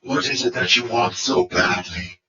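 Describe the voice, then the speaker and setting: A man's voice: normal speed, bright, forceful tone, natural voice. A man voicing an evil, bitter robot warlord in an audio drama, with a commanding, brooding, theatrical delivery. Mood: bitter, resigned. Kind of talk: fan audio drama acting. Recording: echoey, muffled, distant from the mic.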